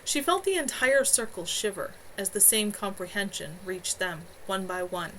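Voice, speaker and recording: A woman's voice: mid-range voice, moderate pace, pushed and bright, a little hiss or hum, low-quality recording. A woman author reading her own novel aloud live from home, earnest, with a few small stumbles.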